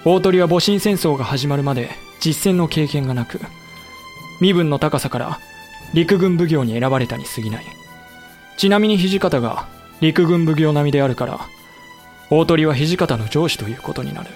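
Male actor, samurai official, slightly high voice, measured wry storytelling, audio drama, music underneath. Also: darkish tone, natural voice. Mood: composed, expository. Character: male samurai government official narrating the war, wry and composed. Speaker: narrator and character. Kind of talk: dramatic first-person narration in an audio drama.

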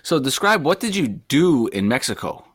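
A man's voice: medium pitch, sing-song, normal speed, fairly bright tone, no echo. A man hosting a casual interview, friendly, joking and enthusiastic. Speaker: host.

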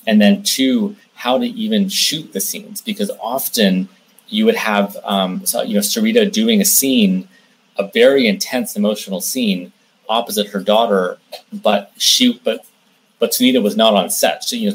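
Man, low husky voice, interview guest, explanatory, hesitant phrasing with repeats. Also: moderate pace, rough recording. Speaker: interview guest, film director and producer. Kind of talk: conversational interview answers.

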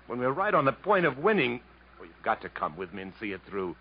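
A man acting in a 1950s radio drama, playing an earnest, world-weary Englishman who speaks in a dramatic, measured way. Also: medium pitch, big pitch swings, husky. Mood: urgent pleading. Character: earnest, world-weary Englishman narrating his jungle journey. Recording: muffled 1950s radio broadcast, faint music.

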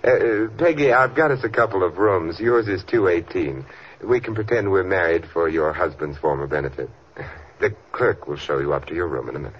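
An actor playing an urbane, exasperated gentleman sleuth in an old radio comedy mystery, dry, suave and easily flustered. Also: sits low, brisk, natural voice. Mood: dry exasperation. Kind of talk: 1940s-50s radio comedy-mystery acting. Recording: muffled, noisy old radio recording.

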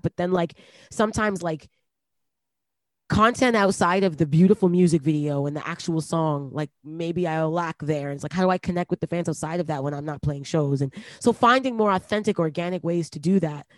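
A woman's voice: on the low side, a little fast, no room sound. Reflective woman rapper, dry close sound. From Canada. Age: late 20s. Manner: reflective, lots of "like" fillers, laughs easily. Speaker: rapper guest. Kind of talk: casual interview chat.

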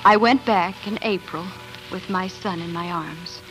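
Actress as earnest young prairie wife, old radio. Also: female voice, fairly low pitch, a little slow, over music, close to an everyday voice, low-quality recording. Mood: earnest narration. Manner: earnest, dramatic delivery. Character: young homesteader wife and mother narrating prairie life, wistful, earnest. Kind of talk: 1940s radio drama acting and narration. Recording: 1940s radio broadcast recording.

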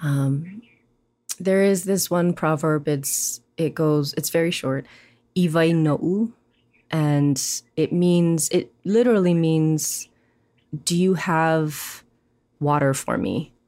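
A woman from Hawaii, a poet speaking in a reflective interview, thoughtful and animated, often pausing with "um" and "you know". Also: sits low. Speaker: poet, guest.

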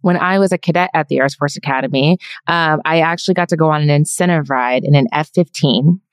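A woman's voice: sits low, fairly quick, smooth, no room sound. A woman from Germany whose first language is German, telling wry, matter-of-fact stories as a podcast guest. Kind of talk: casual interview chat.

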